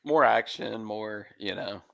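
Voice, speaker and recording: A man's voice: fairly low pitch, a little slow, vocal fry, bright, forceful tone. A man chatting casually on a podcast in a laid-back way, trailing off and laughing often. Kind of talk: casual chat.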